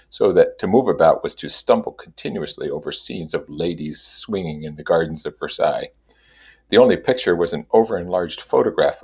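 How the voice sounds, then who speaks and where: Deep, husky man reading a novel aloud. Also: darkish tone. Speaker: reader and commentator.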